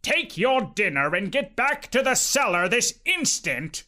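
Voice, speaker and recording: A man voicing a stern, pompous, greedy rich uncle who speaks slowly and grandly in a storybook reading. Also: extremely high pitch, sing-song, pushed and bright, voice pushed up, exaggerated voice. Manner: pompous, slow, decreeing.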